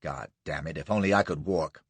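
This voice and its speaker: A man's voice: extremely low pitch, a little fast, husky, no echo, pitched below the performer's own voice, full character voice. A man voicing a wounded young American commander who speaks in a snapping, staccato way, with an American accent. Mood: brusque.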